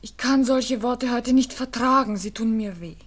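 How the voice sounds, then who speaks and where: A woman's voice: fairly high pitch, moderate pace, lightly acted. An actress playing an earnest, wounded young Viennese girl in love, pleading in a radio drama. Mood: passionate, pained. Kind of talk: 1960s German radio drama acting.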